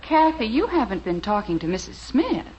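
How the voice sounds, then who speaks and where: A gently reproachful American sitcom mother from 1950s radio. She speaks with lively, sighing inflection. The old recording sounds dull and faintly noisy. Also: on the high side, normal speed. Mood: mild reproach. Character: long-suffering, gently reproachful wife and mother.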